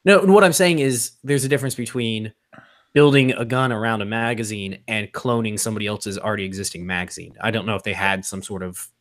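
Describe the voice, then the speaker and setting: A man chatting casually on a podcast, opinionated and quick to laugh. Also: fairly low pitch. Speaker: podcast co-host. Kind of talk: casual chat about firearms.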